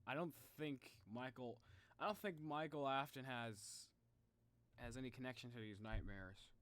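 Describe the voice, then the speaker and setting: A man's voice: mid-range voice, moderate pace, fairly bright tone. A young man giving casual livestream reaction commentary, rambling and thinking aloud as he speculates. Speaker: live streamer reacting to game videos.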